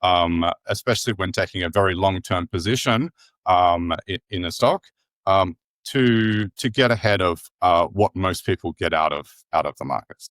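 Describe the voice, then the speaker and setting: A man's voice: low-pitched, normal speed, a bit bright, dry recording, studio quality. An Australian man in his forties, a podcast guest explaining his thinking at length in a thoughtful, rephrasing way. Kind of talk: casual interview chat.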